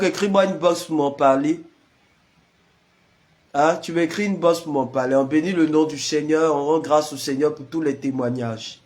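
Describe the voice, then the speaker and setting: A man with the flu, a prayer leader chatting casually with live-stream viewers and greeting them by name. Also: on the high side. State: has the flu.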